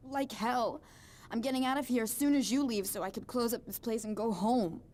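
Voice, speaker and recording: A woman's voice: a little high, brisk, darkish tone, lightly acted. A woman playing a nervous but stubborn young woman in a thriller game, with a hesitant, defensive, naturalistic delivery. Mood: defiant, edgy. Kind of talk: naturalistic dramatic game acting.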